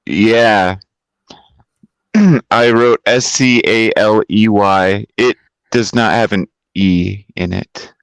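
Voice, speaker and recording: A man co-hosting a podcast, chatting casually with dry deadpan jokes. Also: lowish voice, takes it slowly, smooth, no room sound, low-quality recording. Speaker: podcast co-host.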